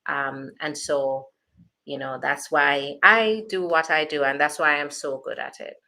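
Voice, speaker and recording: A woman's voice: low voice, fairly even intonation, unhurried, creaky, sharp tone. A woman with a Jamaican accent, an immigration attorney, chatting through viewer questions in a reassuring way with plenty of fillers. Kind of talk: live Q&A reading viewer questions.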